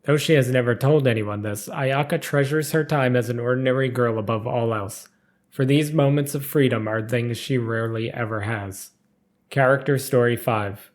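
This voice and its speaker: A man narrates a written story in a mid-pitched voice. His delivery is careful and formal, following the script as a storybook reader would. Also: moderate pace.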